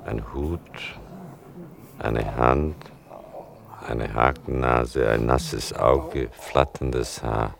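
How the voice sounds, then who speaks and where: An actor playing a grave, exacting man who recalls horrors in deliberate, somber radio drama narration. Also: rumbling low, gravelly, full character voice.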